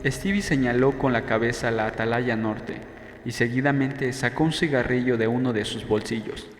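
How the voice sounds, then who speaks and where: A man's voice: mid-range voice, normal speed, soft background music. A man narrating a novel in a scripted audiobook style, with a reverberant sound and faint noise behind. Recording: echoey, long reverb, faint background noise.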